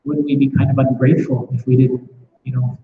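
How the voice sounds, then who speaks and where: A man's voice: mid-range voice, flat delivery, fairly quick, slight background noise. A man leading a scripture class with questions, speaking reflectively and often restarting with repeated words. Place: temple class room. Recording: echoey muffled room recording.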